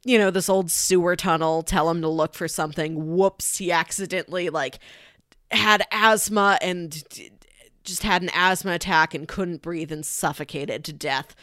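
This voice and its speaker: Lowish-voiced woman chatting about film. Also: fairly even intonation, normal speed, fairly bright tone, dry recording, studio quality. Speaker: podcast host. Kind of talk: casual movie discussion.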